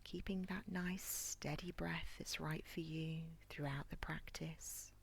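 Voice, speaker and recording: A woman leading a guided body scan meditation in a soft, near-whispered, breathy voice with a calm, gentle, soothing manner. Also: sits low, slow. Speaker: guided relaxation instructor.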